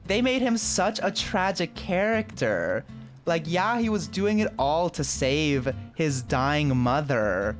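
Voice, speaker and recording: A game streamer thinks aloud in casual, slangy commentary. The delivery is slow and unhurried at a medium-low pitch, with faint music behind. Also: very animated. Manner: informal, slangy, musing aloud.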